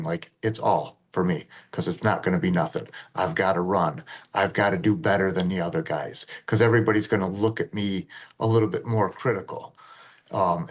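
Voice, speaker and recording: A middle-aged man, a retired officer and deputy sheriff, telling his story in a plainspoken podcast interview with a low, fairly monotone voice. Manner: earnest, plainspoken storytelling with fillers.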